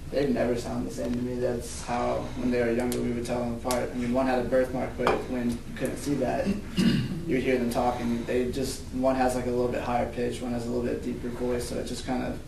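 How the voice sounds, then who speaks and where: A man in a focus group with a gravelly voice, telling an offhand anecdote. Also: mid-range voice, completely flat delivery, a little fast, hardly any pauses. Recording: roomy, distant, noisy room mic.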